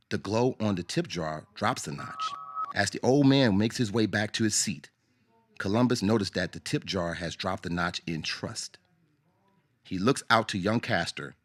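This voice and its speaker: A man narrating a scripted radio drama as a storyteller, in a measured, dramatic way. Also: sits low, lively intonation, fairly bright tone, dry recording.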